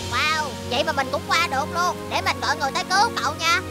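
Woman playing a small cartoon car, high youthful voice, timid, helpful, music behind. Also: fast talker, close to an everyday voice. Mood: nervous, determined. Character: small young talking vehicle, timid but helpful. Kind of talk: animated children's cartoon dub.

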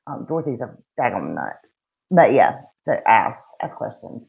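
A woman's voice: very deep voice, very animated, normal speed, creaky. A middle-aged woman with a Southern US accent, co-hosting a true-crime livestream from home in blunt, folksy casual chat over a webcam. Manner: chatty and blunt, folksy slang. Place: room at home. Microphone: webcam on a video call. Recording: dull lo-fi video call audio.

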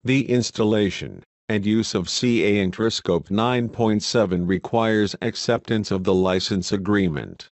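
A man reading a scripted instructional narration in a measured, procedural manner, as a tutorial voice-over. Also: fairly low pitch, dry recording.

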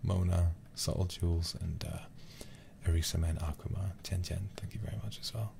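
Very deep, gravelly, soft-spoken man. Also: fairly even intonation, normal speed. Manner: soft-spoken, often dropping to a whisper. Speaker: livestreamer. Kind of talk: ASMR game livestream, soft close talk. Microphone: close ASMR microphone. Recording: clear background noise under close voice.

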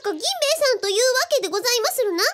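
A performer playing a quaintly formal, deferential attendant companion in a radio drama, in a squeaky voice. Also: lively intonation, rapid-fire, a bit bright, slight background noise. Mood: amused. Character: very polite, old-fashioned, squeaky attendant companion.

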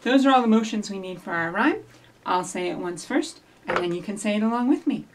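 A middle-aged woman hosting a children's storytime, giving simple instructions and reading rhymes to young children in an animated way, recorded by a camera a few feet away. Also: mid-range voice, fairly quick, noisy background. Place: small indoor office or library room with block walls. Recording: distant camera microphone.

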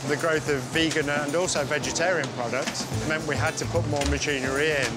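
Higher-pitched man over loud music. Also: fairly even intonation, brisk. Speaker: food factory manager. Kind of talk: explaining to camera. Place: inside a food factory. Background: prominent music.

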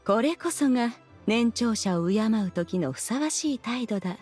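A woman's voice: a little high, lively intonation, measured pace, darkish tone, slightly rough, soft background music. A woman voicing a proud ancient immortal in an anime game, with archaic, imperious phrasing. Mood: haughty. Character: proud, haughty ancient immortal woman, elder demanding respect.

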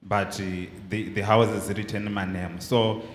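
A young Zimbabwean man testifies in a low voice, feeling his way through his account. The large hall adds long reverb and faint noise. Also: flat delivery, normal speed. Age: young adult. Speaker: congregant giving testimony. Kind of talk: halting personal account. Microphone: handheld mic held to his mouth. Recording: echoey hall with background noise.

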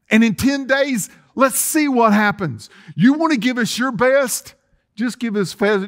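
Middle-aged man, pastor, high voice, sermon, call-and-response, church sanctuary. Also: a bit bright. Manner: preaching with call-and-response, reading scripture aloud. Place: church sanctuary with empty chairs.

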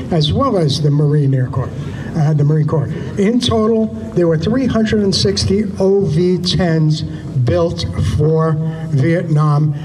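Slow, halting man in a noisy hangar. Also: a little high. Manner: slow, halting with many fillers and restarts. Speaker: museum docent giving a hangar talk. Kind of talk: reading prepared notes with asides. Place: aircraft hangar. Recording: noisy hangar with vehicle sounds.

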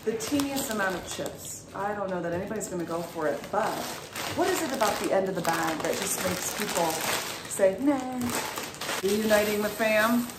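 A woman's voice: medium pitch, expressive, normal speed. Chatty woman in an echoey kitchen. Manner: chatty, asks viewers questions. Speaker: vlogger. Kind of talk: casual chat to camera. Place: home kitchen, indoors. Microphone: handheld phone, often set down at a distance. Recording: echoey kitchen, mic far off, noisy.

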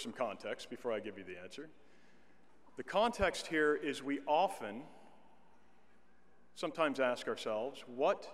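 A middle-aged man speaks from a podium microphone, delivering a commencement address at a medium pitch. He talks in a measured way and slows down to stress key words. A long reverb trails his voice across a large indoor arena. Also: fairly bright tone. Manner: measured, with slow deliberate emphasis.

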